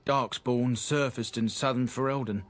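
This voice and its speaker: A man's voice: mid-range voice, steady tone, normal speed, soft background music, lightly acted. A man voicing a proud, blunt merchant from a disgraced noble family in a video game. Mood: earnest. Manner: blunt, earnest, plain-spoken. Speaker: game character.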